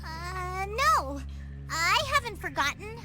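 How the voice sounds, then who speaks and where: A woman's voice: very high voice, sing-song, takes it slowly, full character voice, rough recording. A woman voicing a quirky, confident young girl, playful and scheming, in a video game. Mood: playful, coy. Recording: music under the voice.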